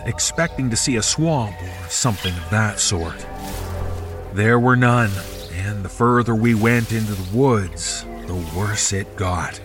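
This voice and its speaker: A man reading a scripted first-person horror story in a measured, dramatic storytelling manner. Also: lowish voice. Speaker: narrator of horror fiction. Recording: music behind the voice. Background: music.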